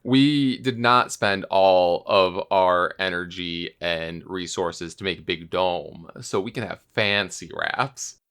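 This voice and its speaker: A man's voice: deep voice, slow, few pauses, fairly bright tone, clear, resonant tone. A man co-hosting a podcast in casual chat, a little tipsy. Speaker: podcast co-host.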